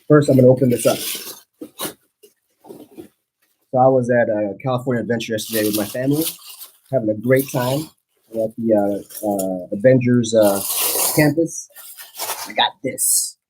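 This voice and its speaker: A man's voice: medium pitch, normal speed, pauses often, a little mellow, a little hiss or hum, low-quality recording. A man in his forties, born in the Philippines, hosting a casual livestream show in a rambling, chatty way with lots of fillers and restarts. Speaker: host.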